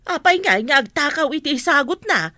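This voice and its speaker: A fussy village mother played by a woman who scolds in a bright, fairly high voice. The recording is close and dry. It suits a homemade comedy drama. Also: very animated, brisk, dry recording, exaggerated voice. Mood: scolding.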